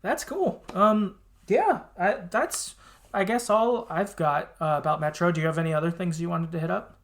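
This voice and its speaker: A man's voice: high voice, normal speed. A man hosting a podcast in a casual, rambling and agreeable chat style with some hesitation. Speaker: podcast host.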